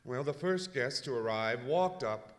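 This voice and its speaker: A man's voice: medium pitch, lively intonation, natural voice. Hesitant, polite bandleader's manager, male actor: mid-range voice, radio-comedy delivery, live in an echoey hall. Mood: mild, chatty. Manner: halting, mild, polite. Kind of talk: old-time radio comedy acting, live stage revue.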